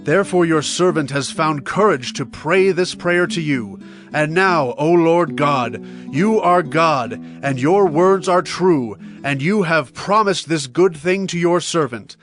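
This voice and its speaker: A man's voice: a little high, a little slow. A man playing a humble, devout king in a dramatized audio Bible, speaking in an earnest, reverent declamation. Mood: reverent, grateful. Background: soft plucked guitar music.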